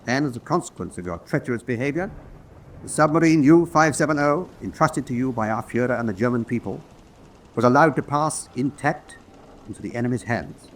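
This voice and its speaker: An actor playing a stern senior officer presiding over a military tribunal, speaking formally and with deliberate command. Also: male voice, mid-range voice, lively intonation, slight background noise, lightly acted. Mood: stern, grave. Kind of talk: dramatic radio acting.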